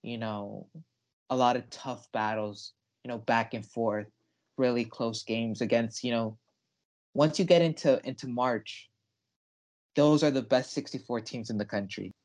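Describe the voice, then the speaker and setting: A man's voice: medium pitch, unhurried, a little mellow. A young man co-hosting a sports podcast, talking casually with emphatic, enthusiastic delivery.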